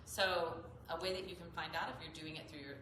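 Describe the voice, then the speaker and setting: A woman's voice: lowish voice, fairly even intonation, fairly quick, echoey room, noisy background. A woman yoga teacher with a slightly nasal voice, explaining mantras earnestly and often repeating her phrases. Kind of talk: spoken yoga instruction. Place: yoga studio.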